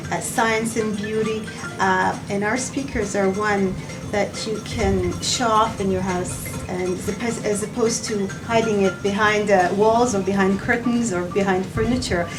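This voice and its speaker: A woman speaks as a company representative in an interview, pitching in an unscripted, halting way. Her voice is a little far from the mic, with music clearly audible behind it. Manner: halting, searching for words. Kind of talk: unscripted promotional interview answers. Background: background music.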